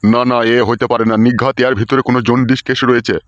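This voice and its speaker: A man's voice: medium pitch, level tone, fast, no echo, lo-fi sound, lightly acted. A man voicing a suspicious, quarrelsome old husband in a cartoon comedy, cursing and blustering with a rural West Bengal accent.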